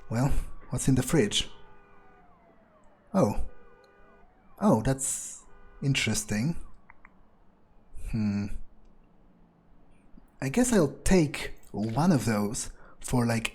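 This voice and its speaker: A man's voice: medium pitch, darkish tone, husky. A man improvising in-character commentary over a video game, thinking aloud in rambling, crude asides. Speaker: gaming video creator playing in character.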